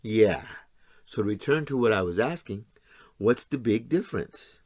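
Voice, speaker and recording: A man's voice: lowish voice, expressive, normal speed, a little mellow, no echo. An actor playing a resentful, combative blue-collar man in an audio drama, plain-spoken, sardonic and argumentative. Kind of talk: stage-style audio drama acting.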